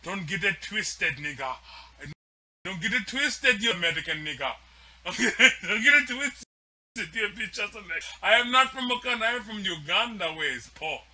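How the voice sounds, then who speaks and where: A man's voice: sits high, expressive, sharp tone, exaggerated voice. Man putting on a defiant Ugandan goat farmer. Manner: halting, repetitive, profane. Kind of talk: comic improvised impression.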